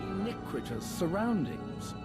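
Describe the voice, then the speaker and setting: An actor playing an eager, fretful, well-mannered scholar in a video game, speaking in wordy, bookish phrases. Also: male voice, high-pitched, big pitch swings, measured pace, over music. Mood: bemused, anxious. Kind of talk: video game cutscene acting.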